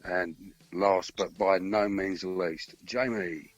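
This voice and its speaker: A man's voice: deep voice, fairly even intonation, slow pace, dry recording, muffled recording. Easygoing male radio co-host. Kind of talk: casual live radio chat.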